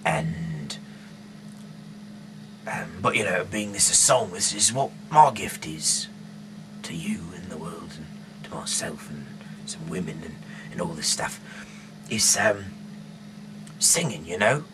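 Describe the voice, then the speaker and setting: A man with a deep, often hushed voice reads scripture aloud informally, with halting, casual asides. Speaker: host reading the Bible aloud. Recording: noisy amateur recording with hum.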